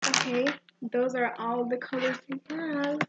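A young woman with a fairly high voice presents items in an amateur ASMR roleplay. She talks very slowly and haltingly, repeating herself as she goes. The recording is dull and lo-fi, with heavy noise behind her. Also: steady tone. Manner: halting, repetitive, softly presenting items one by one. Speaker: amateur ASMR video creator. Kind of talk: ASMR supply-check roleplay. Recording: muffled, very noisy amateur recording with clicks.

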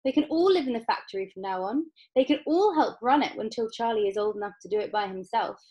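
A woman's voice: a little high, sing-song, normal speed, lo-fi sound, pitched above the performer's own voice. A woman voicing an exuberant, eccentric man who owns a chocolate factory, with an emphatic, commanding manner. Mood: excited.

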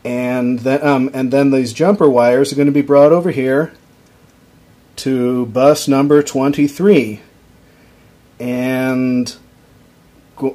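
A young man explaining a guitar circuit on his workbench, casual and halting, with frequent ums and restarts, recorded on a camera mic. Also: medium pitch, dark tone. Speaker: electronics hobbyist demonstrating a circuit. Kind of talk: casual off-the-cuff tutorial. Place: workbench at home, indoors.

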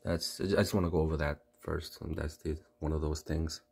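A man with a low, husky voice talks through a furnace repair in a basement, stopping and restarting as he points things out. His tone is dark and mellow, picked up close on a phone camera. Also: flat delivery. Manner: halting, many fillers and restarts, explains while pointing. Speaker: homeowner showing a furnace repair. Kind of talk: casual how-to video. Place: indoor basement utility room. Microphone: phone camera held close.

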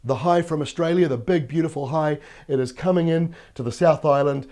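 A New Zealand man in his thirties delivers a TV weather forecast from a studio, voice a little croaky from a cold. His tone is mellow and fairly high for a man, friendly and chatty as he walks through the maps on a lapel mic. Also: fairly quick. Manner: upbeat, conversational, explains while pointing at maps. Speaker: weather presenter. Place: TV studio in front of a weather map screen.

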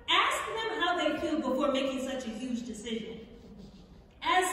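A young woman reading an impassioned speech from a lectern, earnest, deliberate and emphatic. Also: high-pitched. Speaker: stage reader. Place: large theatre stage, indoors. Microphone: far camera in the audience. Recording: very echoey theatre hall from far off.

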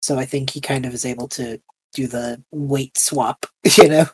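A man's voice: mid-range voice, fairly even intonation, normal speed, slightly rough. A man playing a tabletop game, describing his character's moves in casual chat.

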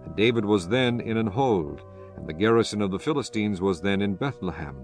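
A man narrating scripture from a script in a measured, formal manner. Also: fairly low pitch, lively intonation, darkish tone. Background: faint music.

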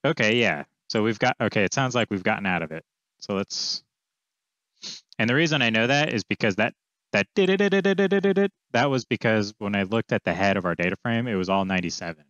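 A man in his thirties with a fairly low, clean and smooth voice walks through a tutorial casually, thinking aloud. The sound is dry and close but slightly dull and lo-fi. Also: lively intonation, brisk, a bit bright, no echo. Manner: informal, offhand, thinking aloud. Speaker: coding tutorial presenter. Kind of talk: casual screencast walkthrough, explaining as he goes. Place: small indoor room, screen recording with webcam.

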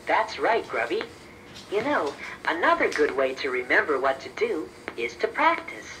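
A man's voice: a little high, sing-song, normal speed, sharp tone, recorded from a distance, a little hiss or hum, low-quality recording. A man voicing a friendly, patient teddy bear, explaining things reassuringly in a children's educational story. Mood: patient, cheerful. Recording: children's cassette tape.

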